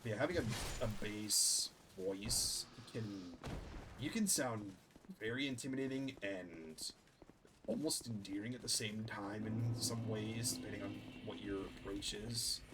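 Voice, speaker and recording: A lower-pitched man talks through a game in a relaxed, chatty way, rambling and correcting himself as he goes. Clear background noise runs behind his voice. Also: a little slow. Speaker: gaming channel host. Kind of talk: casual gameplay commentary.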